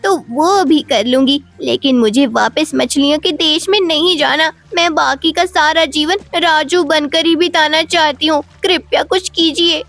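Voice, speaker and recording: A woman's voice: high-pitched, unhurried, hardly any pauses, fairly bright tone, dry recording, voice pushed up. A woman voicing a stubborn young mermaid in a cartoon story, imploring and insistent. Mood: pleading. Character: pleading, stubborn young female mermaid posing as a boy.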